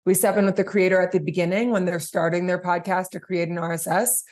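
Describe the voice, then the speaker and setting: A woman answers interview questions in a conversational way. Her voice sits a little lower than average for a woman. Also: flat delivery, quick pace, darkish tone. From New York, United States. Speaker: guest, podcast platform chief executive.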